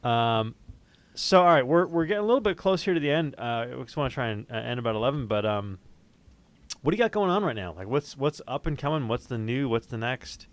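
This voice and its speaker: Male host, mid-pitched voice, relaxed conversational interviewing style. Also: brisk, dry recording. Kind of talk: casual chat.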